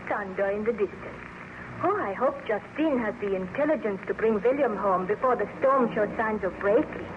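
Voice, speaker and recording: Actress as refined, anxious young-radio-era wife, muffled sound. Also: female voice, mid-range voice, normal speed, lightly acted. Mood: anxious soothing. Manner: refined, earnest, formal. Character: gentle, anxious baroness, devoted wife soothing her husband. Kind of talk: 1930s radio drama acting. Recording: muffled, noisy old-time radio recording.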